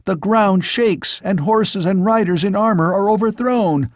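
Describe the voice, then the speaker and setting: A high, breathless young squire shouts out a battle as it unfolds, voiced by a man reading a storybook aloud. His frantic, urgent delivery stays well above the reader's own pitch. The recording is dry and close, with a dull, lo-fi tone. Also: a little slow, dry recording. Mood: anguished.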